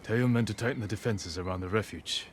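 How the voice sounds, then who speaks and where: Guy playing a stoic samurai lord in a game, lowish voice, measured n earnest, noisy ambience behind. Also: natural voice. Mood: grave, focused. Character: resolute young samurai lord, guarded and honourable. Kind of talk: dramatic video game acting.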